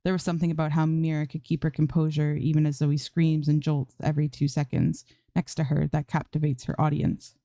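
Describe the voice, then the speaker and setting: A woman's voice: deep voice, level tone, brisk, few pauses, warm tone, no echo. A woman reading fan fiction aloud as an amateur narrator, in scripted storytelling style.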